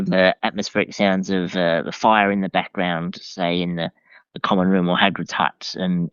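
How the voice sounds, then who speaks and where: A man with a low, smooth voice talks casually as a podcast guest. His delivery is halting and full of restarts. The recording is dry and a little dull. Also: a bit bright, no room sound. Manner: hesitant, halting, often restarting phrases. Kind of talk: casual conversational review.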